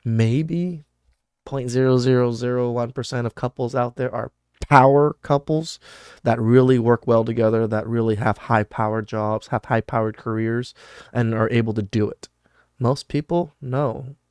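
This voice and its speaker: A man's voice: medium pitch, fairly even intonation, normal speed, darkish tone, smooth, dry recording, clean studio sound. A man hosting a podcast, talking bluntly and casually as he reacts to clips, cussing freely and restarting his sentences. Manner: blunt and profane, with many restarts and fillers.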